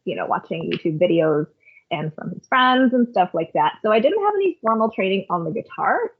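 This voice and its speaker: A woman's voice: mid-range voice, very animated, brisk, husky. A woman, a podcast guest, chatting in a reflective way and telling stories with plenty of "like" and "um". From Canada. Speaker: podcast guest, worship leader. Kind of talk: casual conversational storytelling. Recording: phone-like call line.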